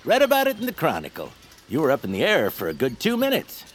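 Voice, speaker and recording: A man's voice: fairly high pitch, huge pitch swings, fast talker, slight background noise. An actor playing a dashing, courteous male game show host in a comedy audio drama, polished and polite. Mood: admiring.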